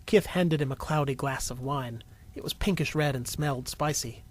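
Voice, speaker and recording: A man reading scripted story narration as a storyteller, in an expressive way. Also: medium pitch, normal speed. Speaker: narrator and voice actor.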